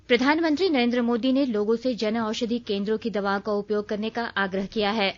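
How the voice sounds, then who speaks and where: A woman's voice: fairly high pitch, dull audio. A woman reading a scripted regional news bulletin on the radio in a formal news reader's style.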